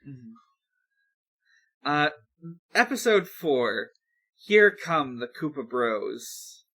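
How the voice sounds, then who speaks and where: A man co-hosting a podcast, giving a casual recap in a halting, hesitant way. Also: medium pitch, sing-song, pushed and bright. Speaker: podcast co-host. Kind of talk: casual episode recap with asides.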